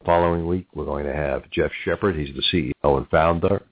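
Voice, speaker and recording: A middle-aged man with a New York accent hosting a business talk show, halting and repeating words as he goes. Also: very deep voice. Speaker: radio talk show host. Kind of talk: business interview and announcements. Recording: muffled narrow phone-like audio.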